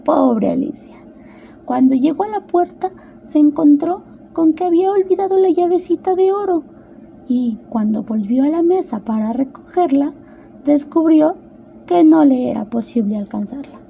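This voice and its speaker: High, very mellow woman narrating a story. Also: lively intonation, moderate pace, vocal fry, lo-fi sound, a little hiss or hum. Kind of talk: expressive scripted storybook narration.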